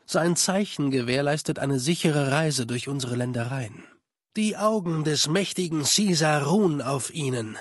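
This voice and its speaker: A man voicing a cold, calculating spymaster of a legion in a video game, speaking in a formal, measured, condescending way. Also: a little high, expressive, husky, no room sound, clean studio sound. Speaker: game character voice actor. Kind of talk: dubbed video game dialogue.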